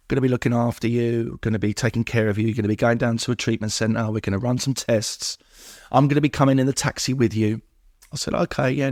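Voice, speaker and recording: A man's voice: mid-range voice, no echo, clean studio sound. A middle-aged man, speaking as a podcast guest and telling personal recovery stories, acting out what people said. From England.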